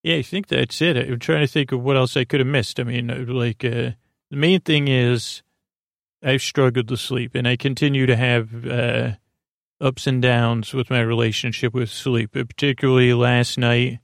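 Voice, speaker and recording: Man, podcast host, creaky mid-pitched voice, meandering sleepy-time talk, halting delivery, very dry sound. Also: steady tone, dry recording. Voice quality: gravelly, creaky voice. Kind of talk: rambling bedtime monologue.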